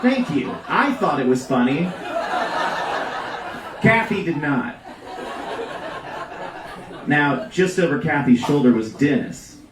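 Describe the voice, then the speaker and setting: A man's voice: a little high, expressive, slow pace, long pauses, noisy background. Man telling comic stories in a roomy venue. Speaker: reader at a live storytelling show. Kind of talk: comedic storytelling read aloud to a live audience. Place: live storytelling venue with audience. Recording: roomy live venue, distant mic.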